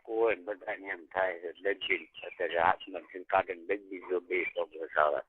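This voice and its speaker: A man's voice: sits low, steady tone, normal speed, breathy edge, no room sound. A man chatting casually and privately on a phone call. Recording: muffled tinny phone call recording.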